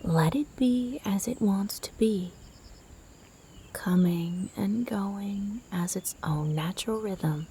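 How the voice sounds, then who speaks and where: A woman guiding a sleep meditation in a soft, breathy, half-whispered voice, soothing and gentle in her delivery. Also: mid-range voice, slow, a little hiss or hum. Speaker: meditation guide. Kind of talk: guided sleep meditation narration.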